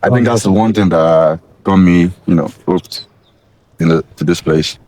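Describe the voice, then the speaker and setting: A young man, speaking in a calm, measured, low-key way. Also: no room sound. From Nigeria. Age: young adult. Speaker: international student.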